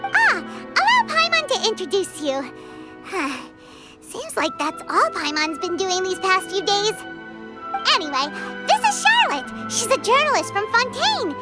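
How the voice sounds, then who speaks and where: A woman voicing a small, excitable fairy companion in a video game, with a squeaky childlike voice and chatty delivery. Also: unhurried, heavily acted. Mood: weary, explaining. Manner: chatty, exclamatory, refers to herself by name. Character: small excitable chirpy fairy companion, talks in third person. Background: game music.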